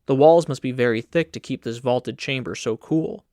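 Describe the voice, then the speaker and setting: A man's voice: medium pitch, moderate pace, warm tone, no echo, clean studio sound. A man reading scripted literary narration as an audiobook narrator.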